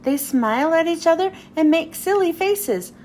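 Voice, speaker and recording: A woman's voice: very high voice, lively intonation, normal speed, a little mellow. A woman reading a children's storybook aloud as narrator, expressively, as if to young children. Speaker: children's book reader. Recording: faint background noise.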